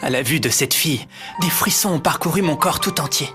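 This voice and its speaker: Young guy playing a polite dreamy student narrating, slightly high voice, music behind. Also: brisk, natural voice. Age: young adult. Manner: polite, earnest. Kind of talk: amateur cartoon dub.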